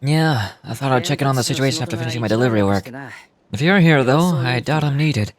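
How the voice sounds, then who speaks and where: A man's voice: medium pitch, fast, lightly acted. A man voicing an earnest, dutiful young warrior in an anime fantasy game. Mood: steady.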